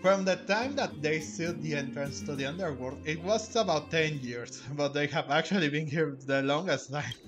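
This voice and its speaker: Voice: mid-range voice, normal speed, few pauses, music in the background. A game streamer giving casual commentary, rambling a little and reading dialogue aloud in a hesitant way.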